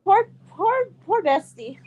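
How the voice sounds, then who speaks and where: High-voiced tired young woman, swearing freely. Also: sing-song, slow, narrow, tinny audio, slight background noise. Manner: casual, profane, calls others bestie. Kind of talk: casual stream chat.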